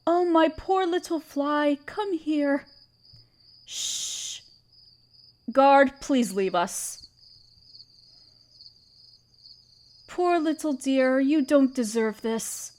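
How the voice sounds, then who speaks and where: A woman playing a regal, tender spider queen in an audio roleplay, speaking in a gentle, soothing and slightly formal way. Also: high-pitched, expressive, extremely slow, long pauses, heavily acted. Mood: tender, comforting. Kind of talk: scripted roleplay audio drama. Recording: crickets chirping behind the voice. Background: crickets and night insects.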